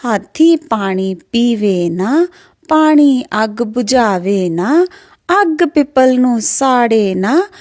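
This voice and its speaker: A woman telling a children's folk tale in an expressive, rhythmic sing-song way. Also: fairly high pitch, no echo, studio quality. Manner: expressive, singsong, repetitive rhythmic delivery. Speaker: storyteller.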